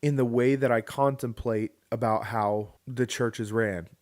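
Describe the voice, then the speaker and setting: Male podcast co-host in his thirties, mid-pitched, rambling casual storyteller. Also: steady tone, measured pace, a little mellow. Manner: rambling with restarts, joking asides.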